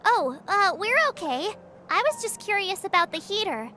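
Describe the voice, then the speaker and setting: A very high-pitched, animated teenage girl adventurer in a video game. She is curious and wide-eyed, chatting casually while music plays behind her. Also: moderate pace, full character voice. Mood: sheepish.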